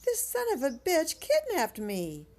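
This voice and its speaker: A woman's voice: sits high, wildly swooping pitch, unhurried, a little mellow, full character voice. An actress playing a defiant, foul-mouthed young woman captive in an audio drama, sarcastic and tough. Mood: indignant.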